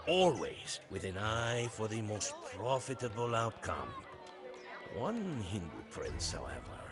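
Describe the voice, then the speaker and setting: A man's voice: medium pitch, lightly acted. A man playing a proud Portuguese seafarer, narrating a strategy game's storybook campaign in a grave, theatrical manner. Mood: proud, grand, then ominous, bitter. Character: proud Portuguese seafarer recounting his captain's conquests. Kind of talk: dramatic scripted storybook narration for a strategy game. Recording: studio voice over prominent music.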